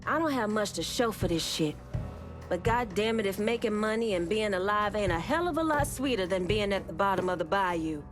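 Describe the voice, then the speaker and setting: An actress playing a tough, plain-spoken underworld boss in a video game, blunt and profane. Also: female voice, mid-range voice, animated, fairly quick, music in the background, lightly acted. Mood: wry satisfaction. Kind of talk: video game cutscene acting.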